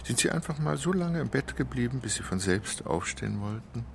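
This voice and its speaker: Very animated, insistent man. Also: medium pitch, brisk, gravelly, slight background noise. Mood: calm, suggestive. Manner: hesitant, insistent, swinging in emphasis. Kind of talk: radio drama acting. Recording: loud music underneath.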